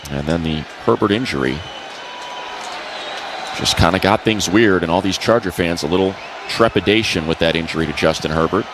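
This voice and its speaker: Low male voice, football play-by-play announcer, live radio commentary, loud stadium ambience. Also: moderate pace, a crowd in the background, noisy background. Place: open stadium broadcast booth.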